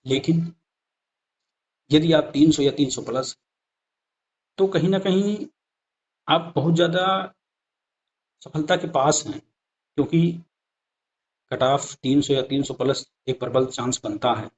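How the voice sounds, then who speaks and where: A man's voice: on the high side, takes it slowly, pauses often, darkish tone. A man giving a conversational explanatory lesson over slides, speaking directly to viewers and repeating points for emphasis. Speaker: exam-prep presenter. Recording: dull lo-fi sound.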